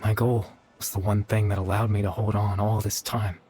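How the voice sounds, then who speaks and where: An actor playing a gentle, weary young man in a video game, speaking in a soft, measured, wistful way. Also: fairly low pitch, flat delivery, slight background noise, natural voice. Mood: resigned, weary. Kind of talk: dramatic video game dialogue.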